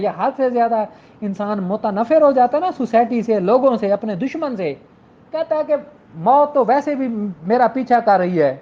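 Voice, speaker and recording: A middle-aged man with a Pakistani accent giving an informal, explanatory law lecture in a conversational, familiar way. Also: very high-pitched. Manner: conversational, addresses listeners as his children and siblings. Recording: dull lo-fi audio.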